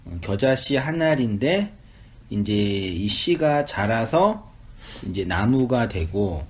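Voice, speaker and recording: A man's voice: fairly low pitch, big pitch swings, slow. Guy pastor running a bible study, chatty, asks lots of questions, voice swings up and down. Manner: chatty, asks the group questions, laughs easily. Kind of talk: casual Bible study teaching.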